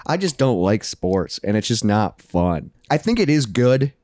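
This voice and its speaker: A young man with a low voice co-hosting a podcast, laid-back and chatty, swearing casually and laughing often. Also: big pitch swings, a little slow, darkish tone, no room sound, clean studio sound. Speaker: podcast co-host. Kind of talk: casual chat.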